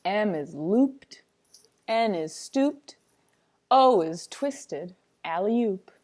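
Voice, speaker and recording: A woman's voice: medium pitch, expressive, drawn-out words, a little mellow. A woman reading a picture book aloud like a teacher, with a sing-songy, playful, dramatic delivery and chatty asides.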